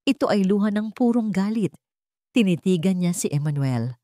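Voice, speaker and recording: A woman's voice: mid-range voice, expressive, moderate pace, dark tone, no room sound. A woman narrating a scripted dramatic story as a storyteller.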